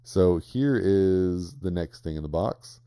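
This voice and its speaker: A man's voice: low-pitched, expressive, takes it slowly, dark tone, clear, resonant tone, no room sound. A young man chatting casually at home late at night while unboxing gear. He rambles, restarts and chuckles often, and his voice is dry and crackly.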